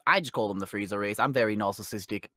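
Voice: mid-range voice, quick pace, fairly bright tone, dry recording, studio quality, lightly acted. A performer playing a vain, snide alien tyrant in a fan question show, with smug, sardonic, offhand delivery. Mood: smug, gloating.

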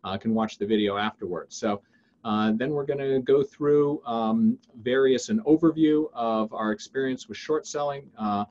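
Halting American man, slightly muffled webcam sound. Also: mid-range voice, darkish tone. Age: 50s. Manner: halting, frequent filled pauses and restarts. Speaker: webinar host and investing teacher. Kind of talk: informal webinar presentation. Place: indoors on a video call.

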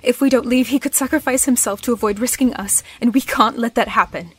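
A British woman acts out an anxious, protective heroine from a superhero audio roleplay. Her voice is fairly high and breathy. She speaks with urgency and raw emotion. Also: a little fast, a bit bright, no room sound. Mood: urgent alarm. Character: protective, anxious young heroine haunted by loss.

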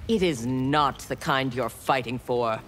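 Sektor: a haughty young ninja. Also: female voice, very deep voice, a little slow, a bit bright, faint music behind. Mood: cold. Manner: cold, haughty, clipped. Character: proud ruthless young female ninja warrior. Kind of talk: video game dialogue.

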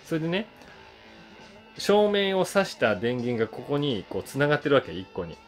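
A man in his forties casually reminiscing to camera in an animated, conversational way, acting things out with sound words. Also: medium pitch, normal speed. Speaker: voice actor and YouTuber telling memories. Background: soft guitar music.

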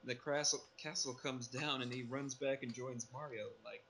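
A man's voice: mid-range voice, steady tone, moderate pace. A man casually discussing and recapping a film as a podcast panelist. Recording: noticeable background noise.

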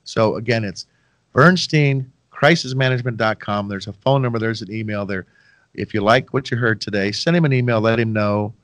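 A man's voice: fairly low pitch, moderate pace, a bit bright, no echo. Middle-aged male podcast host, loose and chatty, self-deprecating, dry close headset sound. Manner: rambling, self-deprecating, folksy asides to listeners. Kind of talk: casual interview chat. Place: home room, video call. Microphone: headset with boom mic.

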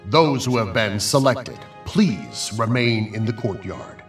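A man's voice: fairly low pitch, big pitch swings, slow, heavily acted. A man playing a commanding, ceremonious king in a horror audio drama, proclaiming formally. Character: commanding, ceremonious king of a cruel fantasy realm. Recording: echoing room with music behind.

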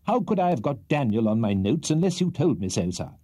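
A small, cantankerous old judge, played by a male reader, snapping his words out sharply in a raised, husky voice. His bark is quick and severe. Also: medium pitch, very animated, mellow, pitched above the performer's own voice. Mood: irritable.

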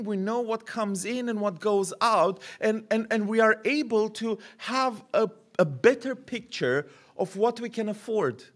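A man in his thirties preaching a sermon at a podium mic in a church hall, animated and gesturing, often repeating words as he builds a point. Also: sits high. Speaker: pastor. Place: church hall with curtained stage.

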